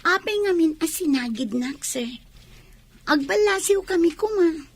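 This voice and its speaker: A woman's voice: extremely high pitch, expressive, unhurried, a little hiss or hum, heavily acted. An actress with an Ilocano Filipino accent playing a cunning, pert young con-woman in a radio drama. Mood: complaining. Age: young adult.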